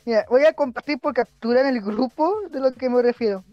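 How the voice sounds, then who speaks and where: A woman's voice: fairly high pitch, a little fast, dry recording, dull audio. A woman chatting casually in a group voice chat. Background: music.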